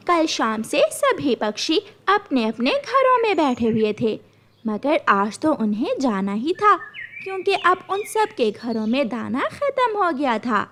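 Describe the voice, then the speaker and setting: High-voiced lady narrating a kids cartoon story, really animated up and down.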